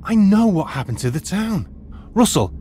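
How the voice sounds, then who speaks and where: Man voicing a precise teacher, explains things at length, lively higher voice, faint hiss. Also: normal speed. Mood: tense. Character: retired archaeologist turned schoolteacher, logical, lecturing. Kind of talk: audio drama acting.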